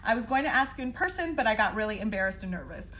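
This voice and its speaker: A young woman with a bright, forceful, fairly high voice tells a casual personal story. She is blunt and slangy, swears freely, and acts out the dialogue dramatically as she goes. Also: racing, low-quality recording. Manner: animated, dramatic re-enacting of dialogue. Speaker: storytelling vlogger.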